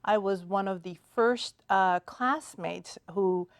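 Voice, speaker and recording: A middle-aged woman from Iran, a podiatrist guest on a TV studio talk show, speaking in a measured way with many "uh" and "um" pauses. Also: medium pitch, no room sound. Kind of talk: TV studio interview. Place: TV studio set.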